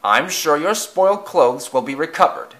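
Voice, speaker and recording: A man's voice: fairly high pitch, lively intonation, normal speed, fairly bright tone, pitched above the performer's own voice. A man voicing a stern, authoritative railway director in a children's story, speaking formally and commandingly. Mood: soothing.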